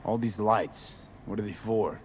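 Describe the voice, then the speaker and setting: Low-voiced, blunt mercenary. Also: male voice, measured pace, slight background noise. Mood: dry, skeptical. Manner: terse, casual, skeptical. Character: blunt, streetwise male mercenary for hire, laconic. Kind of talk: video game dialogue.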